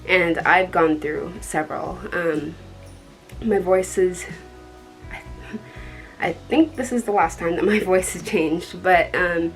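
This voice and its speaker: A young woman from Colorado with a dark tone, chatting casually to the camera in a rambling way, with many ums and soft laughs. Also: lowish voice, slow pace, long pauses, faint music behind, low-quality recording. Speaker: vlogger.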